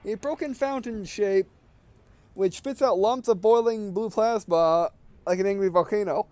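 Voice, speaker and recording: A man with a high, clean and smooth voice reads a visual novel aloud for a gaming video. He slips between narration and casual commentary, often tripping over words and going back over phrases. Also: measured pace, a little mellow, no room sound.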